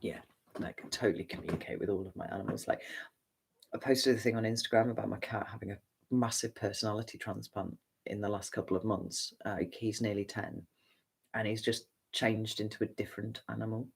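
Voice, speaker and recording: A man's voice: fairly low pitch, fairly even intonation, brisk, gravelly, slightly rough. An English man with a neutral accent, a tarot reader chatting candidly and rambling through viewer questions on a livestream. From England. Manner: rambling, candid, casual swearing. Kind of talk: livestream Q&A chat.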